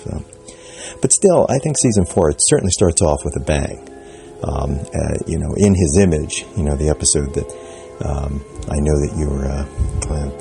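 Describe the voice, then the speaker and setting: A man's voice: rumbling low, creaky. A man giving spoken commentary on a TV episode, rambling and hesitant, with many fillers and restarts. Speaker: podcast contributor.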